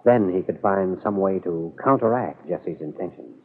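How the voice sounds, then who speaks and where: Male serial narrator, lowish husky voice, dramatic storytelling, muffled narrow-band vintage broadcast. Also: animated, normal speed. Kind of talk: dramatic scripted 1940s radio narration. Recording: muffled old radio broadcast.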